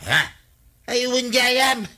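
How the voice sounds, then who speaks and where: An actor playing a sheepish but stubborn villager in a comedy radio drama, protesting with an Ilocano accent. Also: male voice, extremely high pitch, animated, very slow, pushed and bright, exaggerated voice. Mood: defensive.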